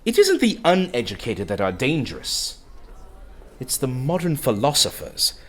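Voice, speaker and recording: Earnest police detective, swooping pitch. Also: male voice, wildly swooping pitch, moderate pace, a bit bright. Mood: grave, zealous. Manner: formal, composed, emphatic. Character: earnest, zealous cultured plainclothes police detective. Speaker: actor in a radio play. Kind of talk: dramatic radio dialogue.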